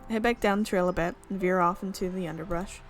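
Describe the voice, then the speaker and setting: A woman's voice: fairly low pitch, steady tone, fast, faint music behind. A young woman reading a visual novel aloud and tossing in casual, chatty comments and giggles. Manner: casual, chatty asides and giggles between narration. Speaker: let's play streamer.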